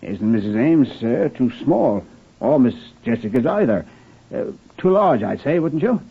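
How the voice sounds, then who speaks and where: An actor playing a deferential, eager police underling who speaks hesitantly and apologetically in an old radio drama. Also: male voice, mid-range voice, very animated, measured pace, slightly rough, full character voice. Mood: sheepish, eager. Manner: hesitant, apologetic, says sir often. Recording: muffled, band-limited vintage radio recording.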